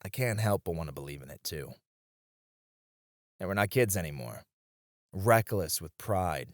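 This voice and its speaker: A man playing a battle-weary knight in a romantic ASMR roleplay, speaking softly and intimately with tender, wistful devotion to a comrade. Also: sits low, animated, moderate pace, no echo, studio quality. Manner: soft, intimate, hesitant with small chuckles.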